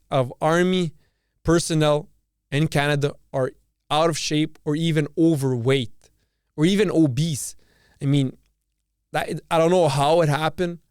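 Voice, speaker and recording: Male podcast host, Serbian-born, ranting solo, blunt and profane, fairly high voice, clean close dry sound. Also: darkish tone, clean tone, no room sound. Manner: blunt and profane, repeats words and restarts. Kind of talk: opinionated solo monologue.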